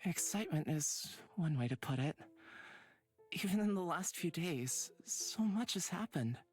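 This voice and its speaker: Insecure devoted young companion, wistful, earnest, game dialogue w music behind. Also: male voice, a little high, animated, measured pace, a little mellow, slightly rough. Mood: wistful, pleading. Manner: earnest, self-doubting. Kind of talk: visual novel voice acting.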